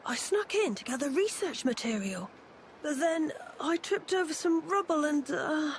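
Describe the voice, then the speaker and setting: A woman's voice: sits high, expressive, moderate pace, slight background noise. A woman voicing an eager, bookish young boy in a video game, chirpy and informal. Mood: sheepish, explaining. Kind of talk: video game cutscene acting.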